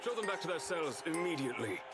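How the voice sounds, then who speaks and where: A man's voice: fairly high pitch, sing-song, fast. A man playing a stern tournament official in a video game, making formal, commanding announcements. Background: loud game music.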